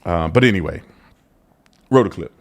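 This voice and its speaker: A man's voice: deep voice, normal speed. A man hosting a casual commentary show, talking emphatically between clips and repeating himself as he argues his point. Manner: animated, emphatic, repeats phrases.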